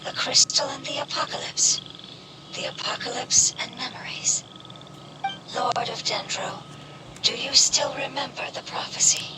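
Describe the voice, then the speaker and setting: A woman voicing a calm, solemn, cryptic spirit creature in a breathy, whispery voice, in video game dialogue. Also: sits high, a little slow. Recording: distant whispery voice, loud game music.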